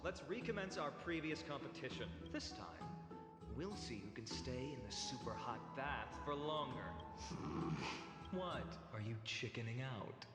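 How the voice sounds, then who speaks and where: A playful young warrior speaks with a theatrical, teasing flair and clear articulation. His mid-pitched game-hero voice rings through a long hall reverb under loud music. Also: sing-song, unhurried. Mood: challenging. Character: young male warrior, playful, teasing, dramatic poetry reciter. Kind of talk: video game voice acting.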